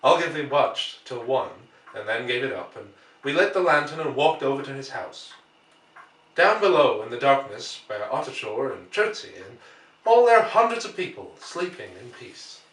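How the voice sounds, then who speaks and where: A man narrates a classic story in a measured, expressive way, with deliberate pauses for drama. His medium-pitched voice sits back from the microphone in an echoey room. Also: far from the mic. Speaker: audiobook reader. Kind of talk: dramatic literary narration, reading aloud.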